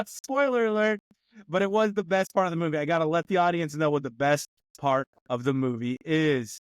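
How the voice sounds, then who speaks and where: A man's voice: on the high side, normal speed, smooth, no echo. A man co-hosting a movie review podcast, rambling with lots of fillers and laughing often in casual chat. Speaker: podcast co-host.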